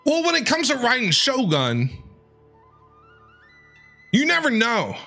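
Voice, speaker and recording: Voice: medium pitch, huge pitch swings, slow pace, gravelly, pushed and bright. A streamer giving casual, playful, chatty commentary while playing through a game. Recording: game music behind.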